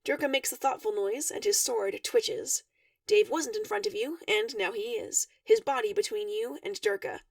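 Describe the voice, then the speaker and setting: A woman reading a fan-written story aloud in an expressive narrating style. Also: high voice, normal speed, vocal fry, dry recording, recorded from a distance. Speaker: fan fiction reader.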